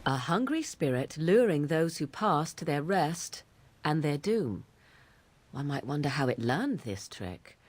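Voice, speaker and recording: An actress playing a cool, sardonic witch in a fantasy video game, measured and theatrical. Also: sits low, sing-song, husky, exaggerated voice. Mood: dark musing. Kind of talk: scripted video game dialogue.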